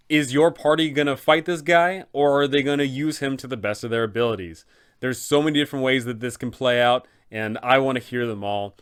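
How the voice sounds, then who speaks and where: Smooth-voiced man explaining a tabletop game. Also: mid-range voice, fairly bright tone. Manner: enthusiastic, conversational explaining. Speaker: dungeon master and channel host.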